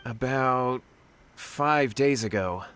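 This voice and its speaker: A man's voice: medium pitch, takes it slowly, a bit bright, slight background noise. A man playing an earnest, anxious young swordsman in a video game, speaking in a pleading way. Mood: insistent, worried.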